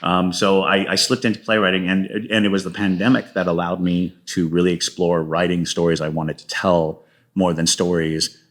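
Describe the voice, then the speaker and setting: Low-voiced man, thoughtful interview guest. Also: flat delivery. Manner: halting, thoughtful storytelling with self-quotes. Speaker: guest playwright. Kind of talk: conversational interview.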